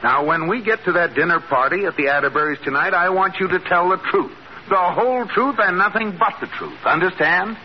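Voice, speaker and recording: An American actor playing an earnest, exasperated husband who scolds emphatically in a 1940s radio sitcom. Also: male voice, high voice, fairly quick, close to an everyday voice. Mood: exasperated scolding. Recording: muffled old radio, faint hiss.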